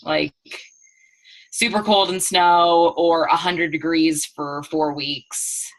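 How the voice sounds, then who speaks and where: A woman from eastern Washington State, telling rambling, animated stories in a casual group chat over a video call. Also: low-pitched, takes it slowly, poor audio.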